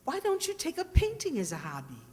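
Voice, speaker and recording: An actress playing a chirpy, coaxing young wife in an old-time radio comedy. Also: female voice, high voice, wildly swooping pitch, fairly quick, darkish tone. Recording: echoey hall, long reverb.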